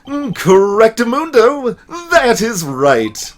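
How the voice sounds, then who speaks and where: A man's voice: high voice, big pitch swings, pitched above the performer's own voice, heavily acted. A man voicing a smug, flamboyant businessman on the witness stand in a video game, pompous and florid. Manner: pompous, florid wording, drawn-out words.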